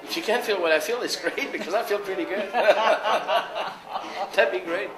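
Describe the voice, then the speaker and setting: French-accented man, higher voice, loud music behind. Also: unhurried. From France. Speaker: interviewed jazz guitarist. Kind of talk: casual interview chat. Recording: echoey space with loud music.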